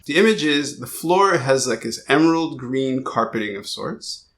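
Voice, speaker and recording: A man hosting an interview podcast, describing a photograph slowly with many pauses and fillers. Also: mid-range voice, lively intonation, a bit bright. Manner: hesitant, describes at length with fillers. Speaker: podcast host. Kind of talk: casual interview chat. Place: guest's house.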